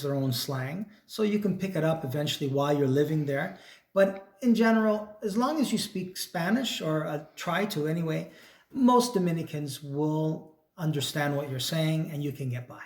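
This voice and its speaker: A man's voice: a little high, normal speed, roomy sound. A man, a real estate agent, explaining in an easygoing way. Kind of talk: casual chat.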